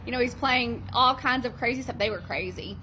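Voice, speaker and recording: Middle-aged female librarian, earnest casual book chat, bright tone, light background hiss. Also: on the high side, fairly quick, low-quality recording. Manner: chatty, earnest, many ums. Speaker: teacher and school librarian reviewing books. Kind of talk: casual book review vlog.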